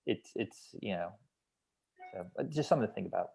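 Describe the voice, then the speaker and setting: A man's voice: fairly low pitch, lively intonation, a little fast, creaky, a little mellow. A man explaining his notes on a script in a hedging, conversational way, like a consultant at a feedback session. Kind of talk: feedback discussion at a table read.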